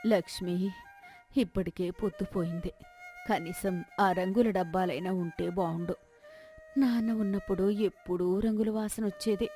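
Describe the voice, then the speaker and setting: Brief: female narrator as worried storybook widow, fretful and pleading, mid-range pitch, soft music. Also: steady tone, moderate pace, lightly acted. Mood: fretful, sad. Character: anxious, despairing widowed village mother. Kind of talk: acted folk-tale dialogue.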